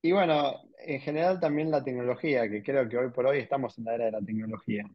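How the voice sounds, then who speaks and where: A man with an Argentine accent hosts a relaxed podcast interview, thinking out loud before his questions. His voice sits at a middle pitch. The recording sounds somewhat dull and lo-fi. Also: fast talker. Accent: Rioplatense Spanish. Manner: musing, rambling questions with many "¿no?". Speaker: podcast host. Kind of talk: casual interview.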